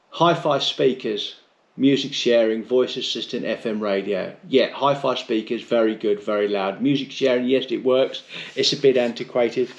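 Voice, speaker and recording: A man's voice: mid-range voice, vocal fry, lo-fi sound. A middle-aged British man giving a casual, unscripted product review in a blunt, chatty, rambling way with mild swearing. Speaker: motorcycle vlogger reviewing gear.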